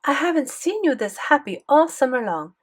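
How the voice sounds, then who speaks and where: A woman's voice: high-pitched, big pitch swings, normal speed, pitched above the performer's own voice. A woman voicing an old French grandmother, doting yet commanding, with a thick French accent in an audiobook. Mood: surprised, curious. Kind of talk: audiobook character dialogue.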